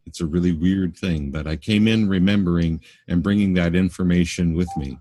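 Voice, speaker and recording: Deep-voiced Canadian man, earnest. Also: fairly even intonation, mellow. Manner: earnest, halting with restarts. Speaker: spiritual workshop teacher. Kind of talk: casual interview answers.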